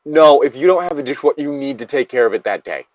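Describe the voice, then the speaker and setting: A man's voice: mid-range voice, expressive, fast, dry recording, lo-fi sound. A man chatting casually and reading aloud, swearing freely and rambling off on digressions. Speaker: podcast co-host reading a story aloud with commentary. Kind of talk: casual chat with story reading.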